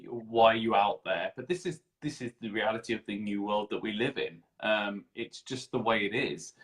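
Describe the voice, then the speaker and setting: A man's voice: lowish voice, moderate pace, fairly bright tone. Effusive, rambling male interview host. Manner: effusive, rambling, repeats phrases. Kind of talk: casual interview chat.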